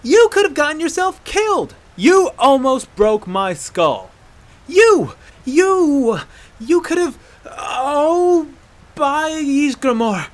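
A man's voice: fairly high pitch, very animated, normal speed, voice pushed up. A man voicing a fierce, brash, exasperated werewolf huntress in a dramatic fantasy story, given to swearing and stammering outbursts. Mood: furious, anguished. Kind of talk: dramatic fantasy audiobook character voice.

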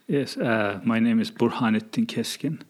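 A man's voice: medium pitch, moderate pace. A middle-aged man from eastern Turkey with a Turkish accent, answering as a professor being interviewed in a conversational way. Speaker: guest, college professor.

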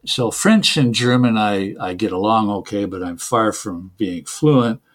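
A middle-aged man who grew up in Canada talks through his answers in a relaxed interview. He often stops, repeats a word and starts again, and drops in small chuckles between points. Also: lowish voice, measured pace. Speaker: software developer guest.

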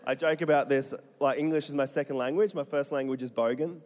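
A man's voice: mid-range voice, fairly even intonation, brisk, darkish tone, slight background noise. A middle-aged Australian man from Central Queensland, preaching a chatty, joking sermon and putting questions to the congregation. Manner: chatty, jokey, asks the crowd questions. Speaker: pastor. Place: church hall. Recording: long hall reverb.

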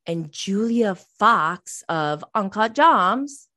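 A woman's voice: mid-range voice, lively intonation, very slow, pushed and bright. A woman of about forty hosting a podcast, chatting casually on her own in a rambling way that often trails off. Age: around 40. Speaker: podcast host. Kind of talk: casual solo recap chat about reality TV.

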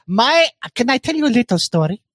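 A man putting on a loud Italian character with a comic accent and broken English, who tells a story about his cousin. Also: very high voice, big pitch swings, brisk, no room sound, clean studio sound, full character voice. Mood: storytelling. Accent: put-on Italian.

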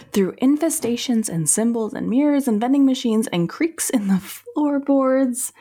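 A woman playing a sleepless, anxious and bitter young woman, talking haltingly and sardonically into an audio diary in a found-footage drama. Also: on the high side, warm tone, breathy edge, full character voice. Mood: sardonic, weary. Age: young adult. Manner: sardonic, weary, halting, sweary, sighing.

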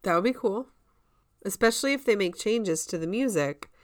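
A woman's voice: medium pitch, lively intonation, normal speed, no room sound, studio quality. A woman co-hosting a podcast in casual conversation, sharing opinions in an informal, fannish way. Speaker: podcast co-host. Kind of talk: casual chat about musical theatre.

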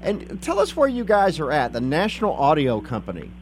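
A man's voice: a little high, wildly swooping pitch, a little fast. A man asking casual questions as an interviewer, with faint noise and hum behind him.

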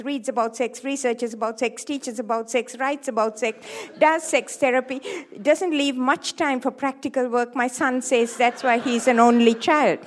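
High-voiced Sri Lankan woman in her seventies. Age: 70s. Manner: joking, lively, playful asides to the audience. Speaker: seminar speaker, sex therapist. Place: hall with a live audience.

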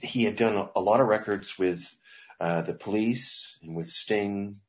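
Man, middle-aged, low-pitched, casual, rambling, restarts. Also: unhurried. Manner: rambling, loose, with frequent restarts and laughs. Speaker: songwriter guest. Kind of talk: casual interview talk.

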